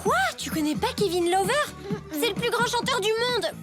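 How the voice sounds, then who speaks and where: Piercingly high, bossy cartoon schoolgirl. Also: animated, moderate pace, over loud music. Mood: incredulous, bossy. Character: bossy, gushing, excitable young schoolgirl. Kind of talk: French TV cartoon voice acting.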